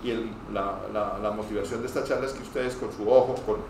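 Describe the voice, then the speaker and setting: A man's voice: on the low side, fairly even intonation. Colombian man lecturing from far off, very echoey. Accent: northeastern Colombian Spanish. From Cúcuta, Colombia. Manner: hurried, digressive, with repeated stammered false starts. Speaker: pulmonologist teaching medical trainees. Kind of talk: informal medical lecture. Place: echoey room, speaking to a group. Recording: very echoey room, distant mic, noisy.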